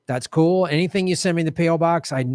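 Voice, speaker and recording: A man hosts a live show in a casual, joking manner, poking fun at himself with playful bravado. His voice sits a little high for a man. The sound is dry and close, with no room echo. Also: quick pace, a little mellow. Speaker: host of a live political show. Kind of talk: casual livestream chat. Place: home studio with bright lights.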